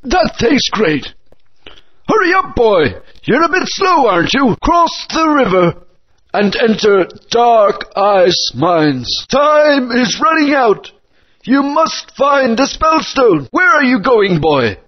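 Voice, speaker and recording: An old wise dinosaur guardian. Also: male voice, very high-pitched, big pitch swings, slow, heavily acted. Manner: grand, storytelling, scolding. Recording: dull lo-fi game audio.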